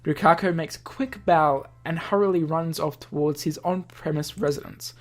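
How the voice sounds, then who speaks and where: A woman reading a visual novel aloud as a let's-play host, in an expressive narrating style. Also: deep voice, normal speed.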